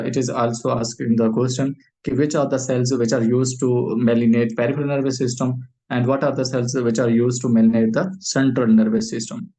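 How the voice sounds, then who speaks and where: A man's voice: mid-range voice, a little mellow, rough recording. Man lecturing with a Pakistani accent. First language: Urdu. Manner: hesitant, frequent uh fillers, repeats phrases; asks questions then answers them. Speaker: medical lecturer. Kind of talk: medical lecture.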